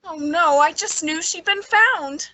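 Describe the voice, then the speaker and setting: An actress playing an anxious, reclusive spinster with a hesitant, fretful delivery in an old-time radio suspense drama. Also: female voice, very high voice, measured pace, sharp tone, no echo, exaggerated voice. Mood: nervous, hesitant. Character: anxious reclusive spinster, the town's queer one.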